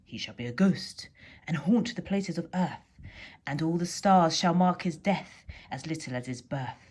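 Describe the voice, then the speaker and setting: A woman's voice: deep voice, measured pace, darkish tone, husky. Wicked powers played by a woman storyteller: gloomy, emphatic curses for a children's poem reading. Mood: ominous, doom-laden. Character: wicked powers cursing a newborn boy with gloom. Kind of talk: poem read aloud to children.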